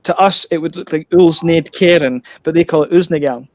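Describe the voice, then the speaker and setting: Scottish beer host, slightly higher voice, chatty and giggly, dry close sound. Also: male voice, normal speed, no room sound. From Scotland. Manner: laughs often, rambling with many restarts. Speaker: beer reviewer hosting an online hangout. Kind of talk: casual chat. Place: home, on a video call.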